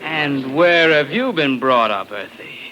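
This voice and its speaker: An actor playing an arrogant, bullying military lieutenant who sneers and barks orders in 1950s science fiction radio style. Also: male voice, on the high side, animated, a little slow, bright, forceful tone, dull audio. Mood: menacing. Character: arrogant, bullying imperial garrison lieutenant, contemptuous of locals. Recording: noisy old 1950s radio recording.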